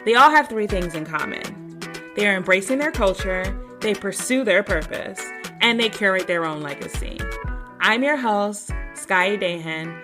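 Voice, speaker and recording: A woman's voice: mid-range voice, expressive, a little slow, over music. A young woman hosting a podcast, talking in an upbeat, effusive way with casual slang. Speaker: podcast host. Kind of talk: casual interview chat.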